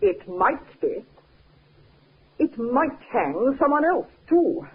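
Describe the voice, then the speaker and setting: An actress playing a bitter, jealous, scheming cousin in a 1950s radio drama, speaking with insistent, dramatic emphasis. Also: female voice, fairly high pitch, very animated, slow, breathy edge, heavily acted. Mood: insistent, pointed. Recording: muffled, narrow-band 1950s radio recording.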